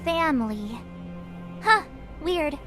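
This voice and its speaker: Very high, cheeky girl adventurer. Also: slow pace, full character voice. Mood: puzzled. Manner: expressive, sassy, informal. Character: cheeky, grumbling, excitable young girl adventurer. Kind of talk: anime-style game voice acting. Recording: music behind.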